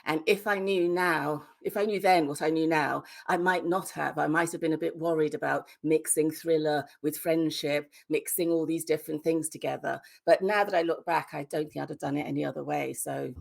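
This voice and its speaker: Female author, British accent, lower register with noticeable gravel, outspoken interview delivery. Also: fairly even intonation, normal speed, hardly any pauses. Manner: opinionated, emphatic, plain-spoken. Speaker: guest, debut novelist. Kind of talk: conversational interview answers.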